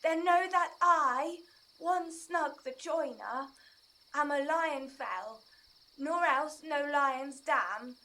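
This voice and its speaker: A woman playing a gentle, timid joiner who recites verse as an amateur stage lion in a careful, apologetic way. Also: high voice, takes it slowly, exaggerated voice, poor audio. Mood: nervous, reassuring.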